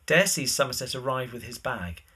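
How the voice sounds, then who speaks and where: A man's voice: mid-range voice, animated, moderate pace, bright, forceful tone, slightly rough. A man narrating scripted stage directions for a drama.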